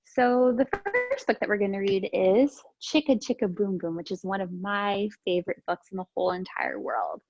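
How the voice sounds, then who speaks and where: A woman teacher reading aloud to young children in an expressive, sing-song, encouraging way. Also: mid-range voice, moderate pace, few pauses, dry recording, highs cut off. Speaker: preschool teacher reading storytime.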